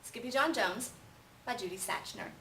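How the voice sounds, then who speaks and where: A woman reading a children's story aloud in an animated way, pausing to put questions to young listeners. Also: a little high. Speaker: storybook reader. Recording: roomy, distant mic with faint noise.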